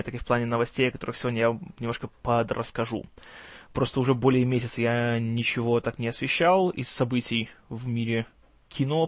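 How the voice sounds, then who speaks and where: A man hosting a film news show in casual commentary, his voice strained and kept low in volume, with a gloomy, wry, sarcastic manner and some stumbling over words. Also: no room sound. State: strained, tired voice, can't speak loud.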